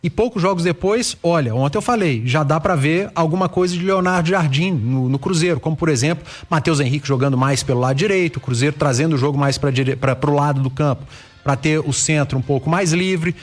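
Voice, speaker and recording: A man analysing football on a radio sports show, talking in a lively way and often restarting his phrases. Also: on the high side, brisk, soft background music. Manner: animated, restarts mid-phrase.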